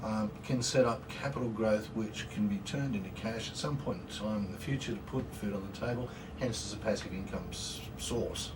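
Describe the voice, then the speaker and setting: A man with an Australian accent hosting a radio talk show, relaxed and hesitant, with many "um"s. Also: fairly low pitch, normal speed, hardly any pauses, recorded from a distance, noisy background. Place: community radio studio.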